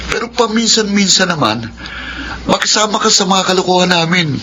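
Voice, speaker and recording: A mischievous young Filipino student in a radio drama. His somewhat high voice has a mocking, teasing delivery, and the recording is noisy. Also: expressive, moderate pace, sharp tone. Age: young adult.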